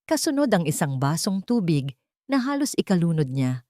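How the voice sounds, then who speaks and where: Filipino woman narrating a drama, low, dry. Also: big pitch swings, normal speed, warm tone, no echo. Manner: dramatic storytelling, voicing characters' lines. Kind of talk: scripted audio drama narration.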